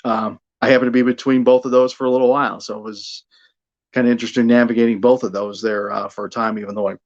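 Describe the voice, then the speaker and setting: A man's voice: medium pitch, little pitch movement, fast, muffled recording. A middle-aged American man from Buffalo talking casually as an interview guest about his broadcasting work, often repeating words as he thinks. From Buffalo, New York, United States. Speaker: guest, radio sports broadcaster.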